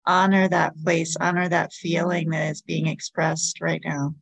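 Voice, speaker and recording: A woman leading a guided meditation as a podcast host, giving soothing, gentle instructions with long pauses. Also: low-pitched, little pitch movement, creaky, husky, no room sound.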